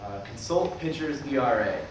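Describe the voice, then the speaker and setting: Male actor as estranged older brother, mid-pitched, wry, apologetic, stage drama, echoey distant recording. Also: lively intonation, normal speed, lightly acted. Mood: playful. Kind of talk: stage play reading, naturalistic dialogue. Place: large room at a staged reading. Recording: very echoey distant room recording, clear noise.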